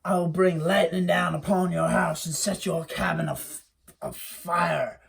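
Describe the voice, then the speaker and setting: Storyteller putting on a gravelly swamp monster, boastful, threatening, folktale. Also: medium pitch, steady tone, measured pace, exaggerated voice. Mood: menacing. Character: menacing hairy swamp monster, male. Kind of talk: oral folktale telling.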